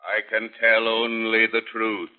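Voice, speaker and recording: A man's voice: on the low side, flat delivery, unhurried, lightly acted. A man playing a solemn, truthful enchanted mirror in stately 1930s radio acting. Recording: dull 1930s radio broadcast.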